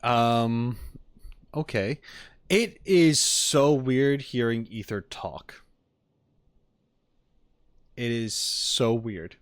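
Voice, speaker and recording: A man's voice: medium pitch, extremely slow, pauses often, clear, resonant tone, no room sound. Male streamer, mid-range voice, casual and opinionated with a jokey streak, close dry microphone sound. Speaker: streamer reacting to game clips. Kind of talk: casual livestream chat.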